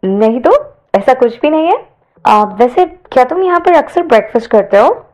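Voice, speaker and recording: Woman playing an eager, chatty college girl, high-pitched. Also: lively intonation, moderate pace, darkish tone. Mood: breezy. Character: eager, curious, chatty young female college student. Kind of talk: audio story character dialogue.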